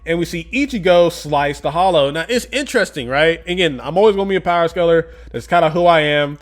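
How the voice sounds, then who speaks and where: A man's voice: a little high, fast. A man giving a casual, chatty manga review, with lots of fillers and frequent laughs. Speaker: anime and manga YouTuber.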